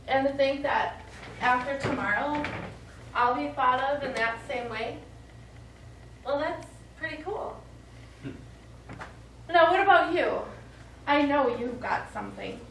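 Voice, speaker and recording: Woman acting a brash, high-voiced astronaut. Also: measured pace, pauses often. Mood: teasing. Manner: brash, sardonic. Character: tough, brash female astronaut, one of the guys. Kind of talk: stage play dialogue. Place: small studio theatre, recorded from afar. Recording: roomy, noisy, distant stage recording.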